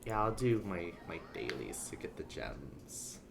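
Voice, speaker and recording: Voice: deep voice, big pitch swings, a little slow, vocal fry. A game streamer chatting casually and ramblingly to viewers while playing. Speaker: livestreamer. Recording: background noise under the voice. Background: video game sounds.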